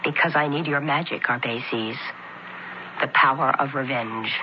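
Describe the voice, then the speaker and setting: A woman's voice: extremely low pitch, a little slow. An actress playing a scorned, imperious noblewoman of ancient Pompeii in a theatrical radio drama style. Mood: vengeful, pleading. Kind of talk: 1970s radio drama acting. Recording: muffled old radio broadcast, clear hiss.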